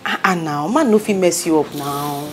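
A woman's voice: low voice, moderate pace, soft background music, lightly acted. A woman with a Nigerian accent acting a bossy, loyal young friend in a drama, speaking emphatically with Pidgin slang. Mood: firm, consoling. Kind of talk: naturalistic film drama acting.

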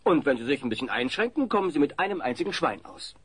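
An actor playing a fussy, sensitive party caterer with an affected sales manner in a comedy audio play. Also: male voice, a little high, big pitch swings, quick pace, husky. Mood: gushing. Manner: affected, tag-question habit.

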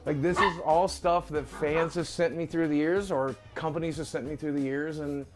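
A man's voice: on the high side, fairly even intonation, moderate pace. Candid middle-aged man over background music. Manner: candid and self-deprecating. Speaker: online video creator giving a house tour. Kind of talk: casual talk to camera, walking through a house. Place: rooms of a house, indoors. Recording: music playing behind the voice.

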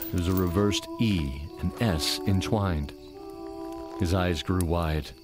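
A man's voice: sits low, slow pace. A man narrating a fantasy audio drama in a dramatic storytelling style, with music behind.

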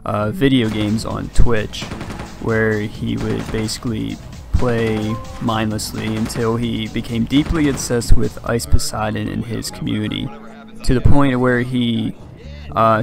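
A man narrating a scripted video essay in an even, measured commentary voice. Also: mid-range voice, creaky. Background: background music.

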